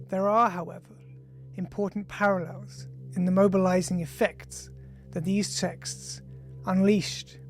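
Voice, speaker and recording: An author reading their own nonfiction audiobook in a measured, formal, deliberate way. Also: mid-range voice, darkish tone. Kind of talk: scripted audiobook narration of an essay.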